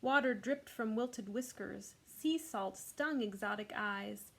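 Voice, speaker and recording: A woman's voice: mid-range voice, slow pace, vocal fry. A woman hosting a children's storytime, reading a picture book expressively with casual asides. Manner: expressive, voices the characters' lines.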